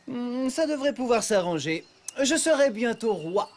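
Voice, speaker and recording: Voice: medium pitch, big pitch swings, unhurried, slight background noise. An actor playing a pompous, entitled young prince in a French cartoon, imperious and self-satisfied. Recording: music behind voice.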